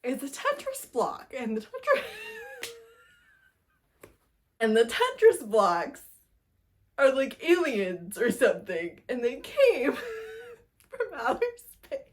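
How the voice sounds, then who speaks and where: A woman giving a casual, animated book review, telling plots informally with a giggly manner. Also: sits high, slow pace, pauses often. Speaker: book review video host. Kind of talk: casual chatty book wrap-up talk to camera.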